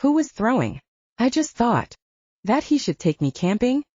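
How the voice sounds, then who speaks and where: A woman voicing a kindly, stubborn elderly grandfather with an earnest, gentle manner in an audiobook. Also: on the high side, big pitch swings, normal speed, no room sound, voice pushed up. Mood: earnest, coaxing. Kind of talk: audiobook character dialogue. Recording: dull, slightly lo-fi, dry recording.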